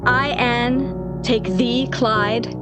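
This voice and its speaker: An actress playing an obsessed, manipulative woman in love, theatrical and deliberate, in 1940s radio drama style. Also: on the high side, fairly even intonation, over loud music. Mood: solemn.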